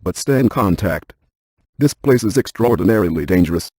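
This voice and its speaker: An actor playing a brainy, dryly cautious ghost hunter scientist in a video game, speaking in a deadpan, precise, technical way. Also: male voice, on the low side, fairly quick, breathy edge, no echo. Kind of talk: scripted video game character dialogue.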